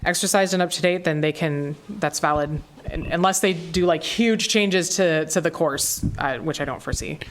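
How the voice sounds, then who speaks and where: Low-voiced woman answering questions. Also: moderate pace, few pauses, slight background noise. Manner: casual, hedging with fillers. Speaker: preparedness team coordinator. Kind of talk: panel answering audience questions.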